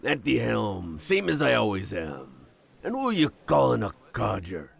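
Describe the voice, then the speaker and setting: A man playing a gruff, superstitious older sea captain with a gravelly voice and commanding, salty sailor speech in a nautical audio drama. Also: fairly low pitch, huge pitch swings, unhurried, full character voice. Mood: gruff, teasing. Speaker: ship captain.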